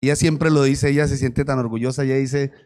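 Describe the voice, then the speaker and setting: A man's voice: medium pitch, fairly even intonation, brisk, darkish tone. A male pastor, interviewing his wife in front of the church and joking with the congregation.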